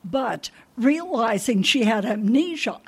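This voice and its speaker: A woman's voice: fairly high pitch, animated, slow, husky, heavily acted. A woman playing a sweet, absent-minded older landlady who rambles forgetfully in a radio comedy. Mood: rambling.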